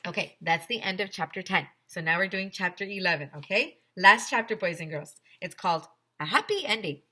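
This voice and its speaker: A woman teacher reading a story aloud to children with expressive, emphatic narration. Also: fairly low pitch, a little fast.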